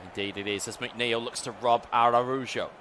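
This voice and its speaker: A man's voice: medium pitch, steady tone, normal speed, fairly bright tone, a little hiss or hum. A man with an English accent giving fluent, upbeat play-by-play commentary on an esports football match, often speaking to the viewers directly. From England.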